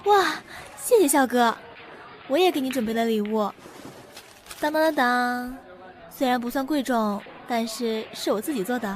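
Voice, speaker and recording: Bubbly shop girl, played by a woman, fairly high voice, audio drama, faint ambience behind. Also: normal speed, slight background noise, natural voice. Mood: excited. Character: bubbly young female shop worker. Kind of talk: Chinese audio drama acting.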